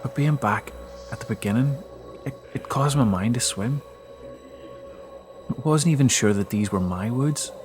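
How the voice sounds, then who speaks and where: A man giving a dramatic first-person narration of a scary story, with halting, emotive delivery. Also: medium pitch. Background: background music.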